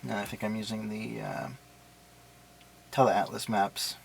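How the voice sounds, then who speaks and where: A man's voice: fairly low pitch, flat delivery, measured pace, gravelly, slight background noise. A man giving a casual, unscripted product walkthrough in a matter-of-fact, explanatory way. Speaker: product reviewer and demonstrator.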